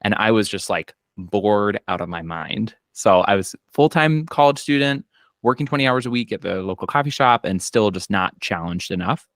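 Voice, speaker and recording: Thirties male accountant, Minnesotan accent, deep voice, dry sound, relaxed chatty storyteller. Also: moderate pace, no room sound. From Minnesota, US Midwest. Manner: relaxed storytelling with frequent fillers and quoted asides. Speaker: accountant and business owner. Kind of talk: casual podcast conversation. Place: home office. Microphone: video call.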